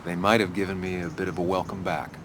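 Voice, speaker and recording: A man's voice: low-pitched, level tone, quick pace, noisy background, close to an everyday voice. An actor playing a guarded, dry-witted American journalist in his thirties, understated, in naturalistic video game style. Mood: guarded, friendly.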